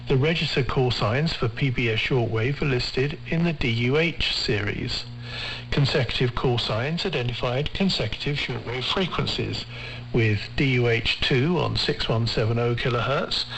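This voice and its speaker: A male radio presenter with a mid-pitched voice narrates a scripted feature. He reads in a measured, formal manner with careful enunciation. The shortwave recording carries light noise and hum.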